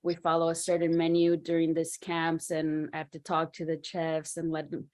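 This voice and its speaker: A woman raised in Mexico speaking as a panel guest about her sports science work, relaxed with frequent fillers. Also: deep voice, moderate pace. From Mexico, Ciudad Juarez. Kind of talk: casual talk about her career.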